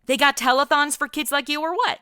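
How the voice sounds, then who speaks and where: A woman's voice: sits high, fairly quick, sharp tone, dry recording, clean studio sound. A woman with an American accent playing a brash, flippant superheroine, sarcastic and irreverent, in a comedy audio drama.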